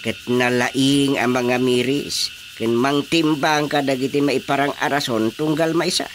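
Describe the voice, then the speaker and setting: A man's voice: mid-range voice, fairly even intonation, measured pace, fairly bright tone, a little hiss or hum, close to an everyday voice. An actor with an Ilocano accent playing an older husband and father in a radio drama. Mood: earnest.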